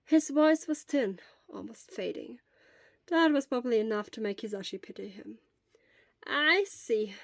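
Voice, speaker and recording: A woman narrates fiction in an expressive, read-aloud style. Her voice is on the high side, and the recording is close and very dry. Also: normal speed, vocal fry, no room sound. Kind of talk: expressive scripted story narration.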